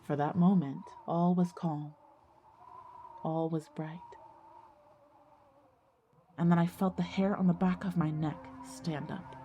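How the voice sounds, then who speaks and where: A woman's voice: lowish voice, steady tone, takes it slowly, long pauses, warm tone, dull audio, a little hiss or hum. A woman playing an earnest teenage schoolgirl who narrates her own ghost story in an audio drama. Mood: hushed storytelling. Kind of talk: scripted first-person narration and audio drama dialogue.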